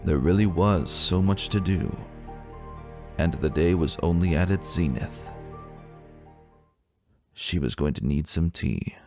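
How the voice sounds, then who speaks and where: Male narrator, low register, dramatic audiobook-style storytelling over a music bed. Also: slow, pauses often, darkish tone. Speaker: narrator of fan fiction readings.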